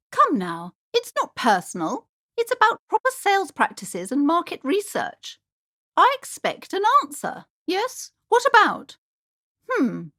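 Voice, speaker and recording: A high-pitched, wildly swooping middle-aged shopkeeper mother from a video game. She is haughty, pushy and high-strung, sliding theatrically between sugary sweetness and imperious scolding. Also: a bit bright, full character voice. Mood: disapproving, impatient.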